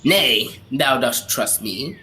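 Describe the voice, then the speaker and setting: A woman's voice: a bass voice, animated, slow, sharp tone, slight background noise, exaggerated voice. A woman acting a bitter, cursed undead sea captain with archaic, menacing speech in a radio drama. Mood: ominous, wary.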